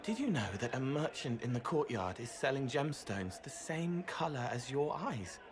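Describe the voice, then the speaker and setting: Guy doing a strange gentle spirit boy from a game, quiet, earnest, mid voice. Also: medium pitch, sing-song, moderate pace, close to an everyday voice. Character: odd gentle young male spirit-boy.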